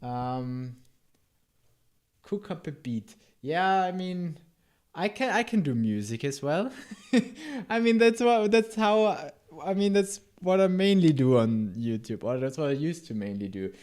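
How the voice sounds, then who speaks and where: A man's voice: fairly high pitch, lively intonation, unhurried, a little mellow. A man with a German accent whose first language is German, streaming a live coding session and chatting with his viewers in a rambling, self-interrupting way. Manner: rambling, self-interrupting, answers chat with asides. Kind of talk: casual live coding stream, reading chat.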